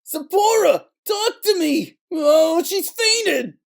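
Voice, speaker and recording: A man's voice: extremely high pitch, very animated, slow pace, vocal fry, full character voice. A man playing a swaggering, defensive theater playboy and pianist in a noir audio drama, fussy and indignant. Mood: panicked.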